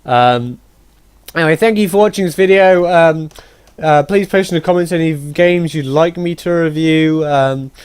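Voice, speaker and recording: A young man giving a casual, rambling and opinionated video game review, animated and informal. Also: a little high, normal speed, smooth. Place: voice-over on gameplay footage. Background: game music.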